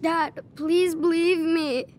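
Game casting: a nervous young boy, high voice, slow and halting delivery. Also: steady tone, a little hiss or hum. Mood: pleading. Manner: hesitant, halting, quiet. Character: timid ten-year-old boy hiding trouble. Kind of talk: video game voice acting.

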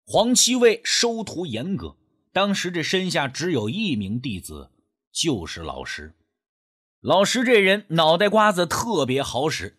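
A man's voice: on the high side, a bit bright, slightly rough. A man narrating a scripted suspense story as an audiobook, with a storyteller's dramatic phrasing.

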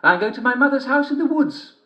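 A man's voice: extremely high pitch, expressive, fast talker, voice pushed up. A man playing a bold young girl in a red cloak, her raised voice plain and unafraid. The storybook line comes through dull and echoey, as from an old broadcast. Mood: matter-of-fact.

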